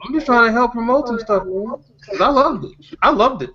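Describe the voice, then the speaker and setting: A man hosting a casual group discussion, talking in an animated, teasing way. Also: very high voice, a little fast, crowd noise. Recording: dull lo-fi audio.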